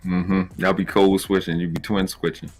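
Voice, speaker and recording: Deep-voiced man hosting a casual livestream. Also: flat delivery, moderate pace, slight background noise. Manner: slangy, conversational. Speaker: live show host.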